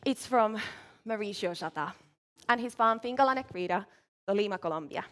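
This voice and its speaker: A woman from Finland, giving a measured competition presentation as a barista at a live event. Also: mid-range voice, lively intonation, fairly bright tone. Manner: measured, clear presenting while working.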